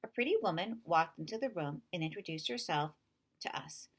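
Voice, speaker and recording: A woman narrating a children's novel in an expressive read-aloud style. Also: fairly low pitch, moderate pace, breathy edge.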